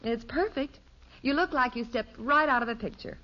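A woman playing a patient, long-suffering middle-aged wife and mother in a 1950s radio sitcom, gently placating and wry. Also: on the high side, moderate pace. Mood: wry, gentle. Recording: dull 1950s radio broadcast recording.

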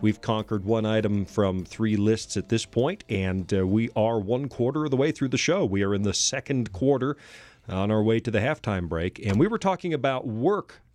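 A man's voice: fairly low pitch, normal speed, a little mellow, faint music behind. A man from Canada hosting a casual talk show, joking at his own expense. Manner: jokey, self-mocking. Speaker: podcast host.

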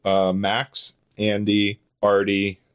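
Lower-voiced man chatting as guest. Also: monotone, takes it slowly, clear, resonant tone. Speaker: podcast guest, audio drama creator and voice actor. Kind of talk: casual unscripted interview chat.

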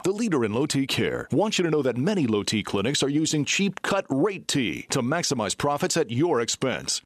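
A man's voice: mid-range voice, dry recording, clean studio sound. A man reading a scripted hard-sell radio advert. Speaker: advert announcer.

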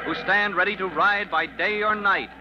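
A man's voice: sits high, moderate pace, close to an everyday voice. A man playing a dramatic, declamatory announcer for a 1940s radio adventure serial, on an old recording. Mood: rousing. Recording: dull 1940s radio recording, music behind.

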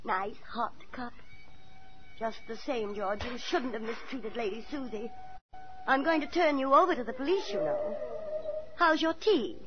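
A woman's voice: a little high, measured pace, lightly acted. An actress playing a prim, fussy older landlady in a 1940s radio thriller, fluttery, proper and theatrical, with a chilling sweetness. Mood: sweetly sinister. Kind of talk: 1940s radio thriller acting, first-person narration. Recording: muffled 1940s radio broadcast, music behind.

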